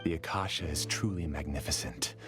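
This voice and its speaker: Deep-voiced, theatrical obsessive researcher. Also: male voice. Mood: proud, explaining. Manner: measured, theatrical, formal and deliberate. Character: obsessive, grieving male researcher, polite and self-assured. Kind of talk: English video game voice acting. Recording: loud music over the voice.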